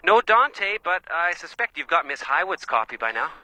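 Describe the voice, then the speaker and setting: An actor playing a smug, menacing murderer in a radio crime drama, making threats with mock geniality. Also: male voice, fairly high pitch, big pitch swings, moderate pace, a crowd in the background, low-quality recording. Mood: taunting. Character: taunting, smug, menacing male murderer villain. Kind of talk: detective radio drama acting.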